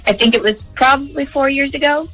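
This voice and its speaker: A young woman with a fairly high, very bright and forward voice. She talks casually as a radio phone guest, with faint music underneath. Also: brisk, low-quality recording. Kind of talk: casual storytelling.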